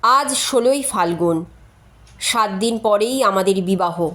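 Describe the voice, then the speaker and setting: A woman's voice: medium pitch, a little slow, fairly bright tone, voice pushed down, close to an everyday voice. A woman narrator voicing an earnest, overly serious educated man reading his formal letter in a storybook. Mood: earnest, solemn.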